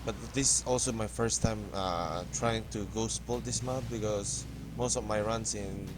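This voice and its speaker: Filipino man, low voice, halting game commentary. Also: fairly even intonation, background noise. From Philippines. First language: Filipino language. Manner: hesitant, halting, restarts words often. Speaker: gaming tutorial host. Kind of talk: casual gameplay commentary.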